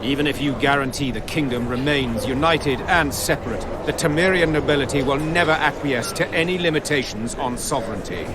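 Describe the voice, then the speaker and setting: A proud soldier and constable from a fantasy game , acted by a man. He speaks in formal, measured oratory at a middling pitch. Noticeable ambient noise sits behind the voice. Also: close to an everyday voice. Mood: defiant. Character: proud plain-spoken soldier, constable of a war-torn fantasy kingdom. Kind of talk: formal dramatic game acting.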